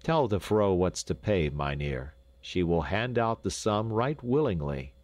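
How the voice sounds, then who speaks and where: A man's voice: deep voice, expressive, measured pace, a little mellow, dry recording. Man playing a hearty, plain-spoken peasant father. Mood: earnest. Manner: hearty old-fashioned rustic speech.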